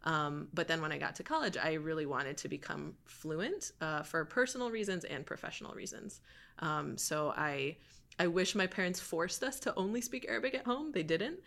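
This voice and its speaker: A woman's voice: low voice, normal speed, gravelly. A young woman from Michigan, a podcast guest talking casually with lots of fillers. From Michigan, USA. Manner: relaxed, frequent fillers and laughs. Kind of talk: casual interview chat.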